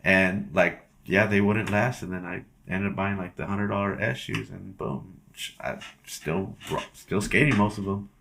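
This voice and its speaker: A man's voice: low voice, steady tone, normal speed, vocal fry, few pauses, a bit bright, slight background noise. A man hosting a podcast, asking questions in an easygoing, friendly way. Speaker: podcast host. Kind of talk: casual interview.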